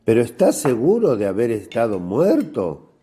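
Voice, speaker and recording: An actor playing an insistent, skeptical Pharisee interrogator with a husky voice in a devotional radio drama. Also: male voice, medium pitch, huge pitch swings, moderate pace, mellow. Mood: probing, skeptical. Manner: probing, pointed questioning.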